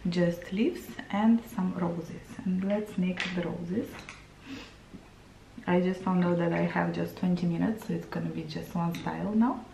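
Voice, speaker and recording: A woman's voice: fairly low pitch, fairly even intonation, a little slow, vocal fry, background noise. Chatty Romanian-accented woman, echoey room. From Moldova. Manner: chatty, searching for words, with fillers. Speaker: face painting vlogger. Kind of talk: casual talk to camera while painting. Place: small room indoors.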